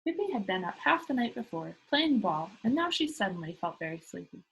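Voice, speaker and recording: A woman reads a children's story aloud with a lively, varied pitch. Her voice has a husky edge, and she addresses her young listeners directly as a storyteller. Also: a little fast, poor audio. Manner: engaging listeners with simple questions.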